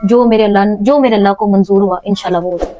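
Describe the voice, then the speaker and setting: A woman's voice: medium pitch, fast talker, noisy background. A young woman with a Pakistani accent answering as an interview guest, informal and defensive, repeating herself for emphasis.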